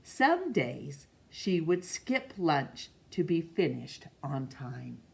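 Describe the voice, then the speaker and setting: A middle-aged woman reading a picture book aloud in a measured, expressive way for children. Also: deep voice, vocal fry. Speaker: storytime reader. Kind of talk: read-aloud storybook narration. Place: small room indoors.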